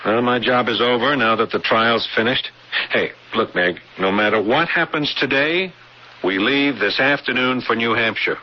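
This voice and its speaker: A man's voice: medium pitch, normal speed, natural voice. An actor playing an earnest, anxious artist in a radio drama, hesitating and stammering as he pleads. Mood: determined, apologetic. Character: earnest, anxious male artist, a married everyman. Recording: dull, noisy old radio broadcast.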